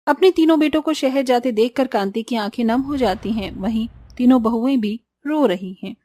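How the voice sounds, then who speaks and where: Bright-voiced woman narrating, fairly high. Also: moderate pace, no room sound. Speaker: story narrator. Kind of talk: scripted Hindi cartoon story narration.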